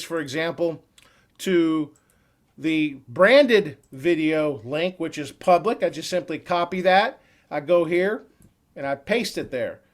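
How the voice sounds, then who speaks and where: Upbeat man leading a software webinar. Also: fairly high pitch. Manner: upbeat salesy walkthrough with quick asides. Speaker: software trainer. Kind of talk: screen-share training webinar.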